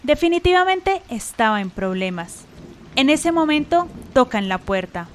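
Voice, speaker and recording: A woman's voice: high-pitched, very animated, unhurried. A woman giving scripted narration for a radio drama, with rain-like hiss.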